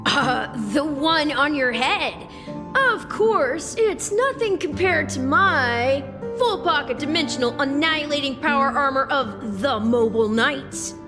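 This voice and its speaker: An actress voicing a haughty, boastful, bossy guard in a video game, with a theatrical delivery. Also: female voice, high-pitched, expressive, a little slow. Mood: proud, boastful. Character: haughty female guard boasting of fantasy power armor. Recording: long reverb, music behind.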